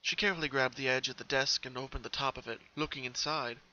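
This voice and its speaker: A man's voice: medium pitch, fairly even intonation, quick pace, pushed and bright, lo-fi sound. A man narrating a story as a dramatic reading. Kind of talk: dramatic reading of fan fiction.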